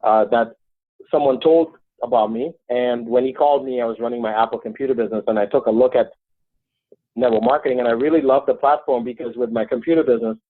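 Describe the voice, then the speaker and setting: A middle-aged Trinidadian man talks eagerly and earnestly as an interview guest. His voice sits at an ordinary pitch for a man. The sound is thin and phone-like, with no room around it. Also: fairly even intonation, fast, no room sound. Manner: earnest, enthusiastic. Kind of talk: interview answers. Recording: dry, tinny phone-like line.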